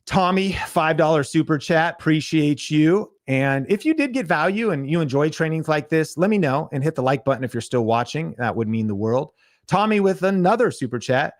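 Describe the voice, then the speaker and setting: Energetic man pitching to viewers. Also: normal speed, dry recording, studio quality. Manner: energetic, salesy, direct to viewers. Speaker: podcast host. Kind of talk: livestream pitch and chat.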